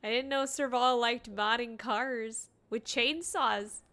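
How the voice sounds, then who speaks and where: A woman's voice: fairly high pitch, fairly even intonation, slow, pushed and bright. A woman giving casual, playful livestream commentary as she plays a game, reacting as she goes. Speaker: game streamer.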